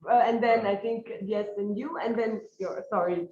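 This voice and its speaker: A woman moderating a conference panel, quick and a little hesitant. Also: medium pitch, fairly even intonation. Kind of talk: moderating a discussion. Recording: roomy, dull sound with crowd.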